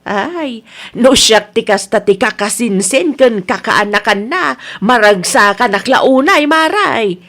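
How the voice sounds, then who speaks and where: A woman's voice: a little high, lively intonation, slow pace, a bit bright, full character voice. A woman with an Ilocano Filipino accent acting a frank, reasonable neighbor in a radio drama. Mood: reproving. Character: frank, reasonable female neighbor who reproves her gossiping friend.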